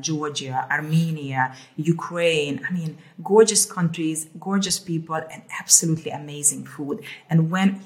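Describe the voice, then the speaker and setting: Low-voiced chatty woman from Hungary. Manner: chatty, repeats words while thinking aloud. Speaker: co-host. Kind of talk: casual conversational talk.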